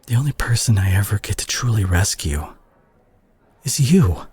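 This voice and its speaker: A man playing a protective, guilt-ridden brawler superhero in an intimate audio drama, speaking earnestly with a husky, breathy voice. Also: on the low side, expressive, darkish tone, slight background noise, lightly acted. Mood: impassioned, reproachful. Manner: earnest, emotional, halting delivery with sighs. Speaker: audio roleplay voice actor.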